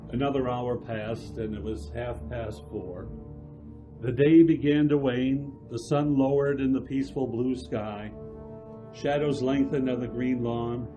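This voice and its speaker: Dark-voiced male radio-drama narrator. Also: mid-range voice, fairly even intonation, faint music behind. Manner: measured storytelling.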